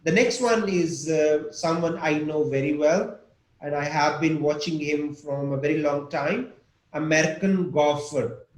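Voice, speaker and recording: A man teaching an online English lesson, explaining patiently with examples and questions for his students. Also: fairly high pitch. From Pakistan. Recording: echoey room with faint noise.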